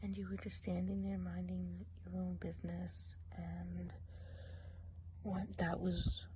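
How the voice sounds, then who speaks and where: Woman as a gossipy forest witch, mostly whispered, mellow tone, faint hiss behind. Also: lowish voice, monotone, takes it slowly. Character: gossipy woodland witch woman, chatty and nosy. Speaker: ASMR role-play performer. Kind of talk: ASMR role play.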